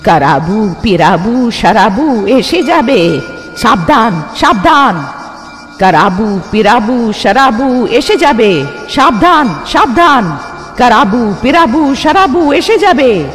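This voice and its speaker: Man voicing an ominous high-pitched village aunt. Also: very animated, a bit bright, long reverb tail, soft background music, exaggerated voice. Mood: eerie chanting. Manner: ominous, drawn-out warnings. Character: grim village aunt chanting warnings of demons. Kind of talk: cartoon voice acting.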